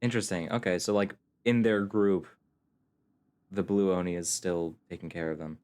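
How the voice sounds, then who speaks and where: A man's voice: low voice, fairly even intonation, moderate pace, darkish tone. A man streaming a game, giving casual commentary in short, offhand reactions. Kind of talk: casual live gameplay commentary. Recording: game music clearly behind voice. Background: video game music.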